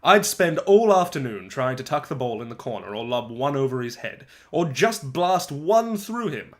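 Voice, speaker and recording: Storybook narrator: eager, wry eleven-year-old boy played by an adult man, mid-pitched, conversational, full of asides and dramatic pauses. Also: big pitch swings, lightly acted. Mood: wry exasperation. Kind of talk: dramatic first-person storybook reading.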